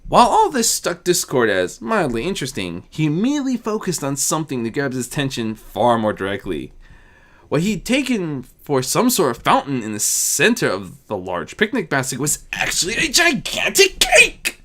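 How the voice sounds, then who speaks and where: A reader narrating a fan fiction story with expressive delivery. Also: medium pitch, moderate pace. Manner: expressive, wide-ranging delivery.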